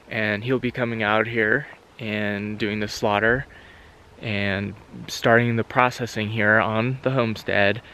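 A man's voice: fairly low pitch, a bit bright, slight background noise, poor audio. A man in his thirties vlogging about his homestead, talking earnestly to a camera held at arm's length in an open pasture. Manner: earnest, reflective, talking while walking outdoors. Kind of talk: casual vlog to camera. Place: open pasture outdoors, rainy day.

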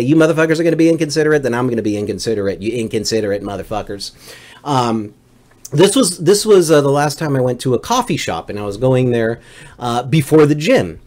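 A middle-aged man delivers a comedic storytelling monologue with very animated pitch, swinging from low mutters up to high, emphatic peaks. He rants in an exasperated way and swears freely as he goes. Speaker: livestream host.

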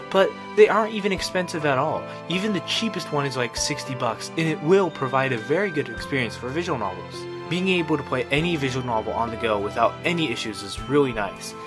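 Young adult male, medium pitch, scripted review voice-over over a prominent music bed. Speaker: video guide narrator. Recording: music behind the voice. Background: background music.

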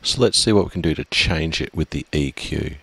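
A middle-aged man teaching music software step by step in a steady, explaining way with small fillers, from a small room at his desk. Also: very deep voice, fairly quick, creaky, slightly rough. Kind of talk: screen-recorded software tutorial.

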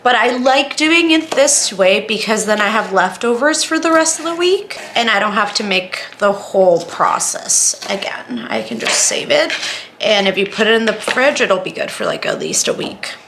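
A woman's voice: medium pitch, sing-song, moderate pace, fairly bright tone, slight background noise. A woman giving a casual, chatty home cooking demonstration, informal and full of self-deprecating asides. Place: indoors, home kitchen.

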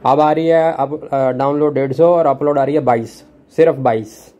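A man's voice: medium pitch, steady tone, normal speed, dark tone, highs cut off. A young man from India making an unscripted complaint call as a customer, pressing his point in a blunt, challenging, insistent way. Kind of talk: phone complaint, unscripted argument. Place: room at home.

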